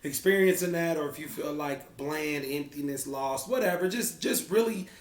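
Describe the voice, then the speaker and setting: A man's voice: a little high, normal speed. Houston Texan man, vlog co-host, reflective and easygoing, a bit far from the mic in an echoey room. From Houston, Texas. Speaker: vlogger co-host. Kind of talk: casual chat.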